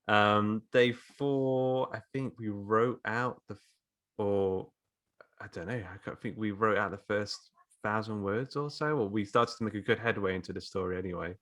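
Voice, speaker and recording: A man's voice: lowish voice, fairly even intonation, moderate pace, a bit bright. A man who co-leads a course, explaining casually and a little hesitantly on a podcast. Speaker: writing course co-leader.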